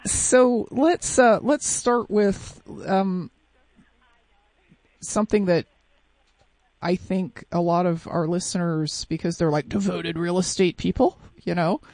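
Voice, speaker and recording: A woman's voice: fairly low pitch, slow pace, darkish tone, no room sound, rough recording. A middle-aged woman hosting an interview podcast, chatty and upbeat, with frequent restarts. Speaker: podcast host.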